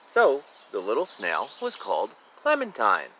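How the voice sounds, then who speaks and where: A man's voice: mid-range voice, huge pitch swings, a little slow, bright, forceful tone, a little hiss or hum. A man reading a picture book aloud expressively, with informal asides about the illustrations. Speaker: reader of a children's picture book.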